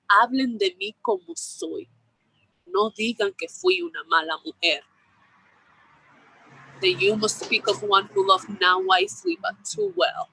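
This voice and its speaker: A woman performing a bilingual Shakespeare monologue outdoors as a remorseful general facing death, in a measured, solemn delivery. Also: medium pitch, pushed and bright, breathy edge, no room sound, heavily acted, low-quality recording. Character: man, a remorseful general confessing before death.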